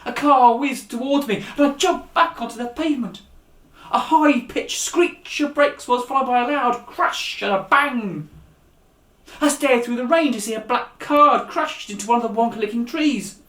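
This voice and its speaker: Male storyteller for young audiences, animated and direct, recorded with noticeable room echo. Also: very high voice, moderate pace. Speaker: author and storyteller. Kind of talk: story reading aloud for young listeners. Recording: echoey room.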